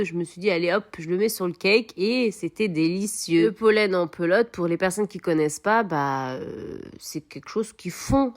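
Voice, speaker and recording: Woman, home cooking vlog, expressive, repetitive emphasis. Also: lowish voice. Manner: expressive, repeats words for emphasis, coaxing viewers. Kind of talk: chatty cooking tutorial.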